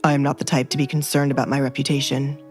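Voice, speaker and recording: A woman's voice: very deep voice, flat delivery, fast, faint music behind, pitched below the performer's own voice. A woman voicing a cold, composed male duke with a curt, haughty manner in an audio drama. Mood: disdainful.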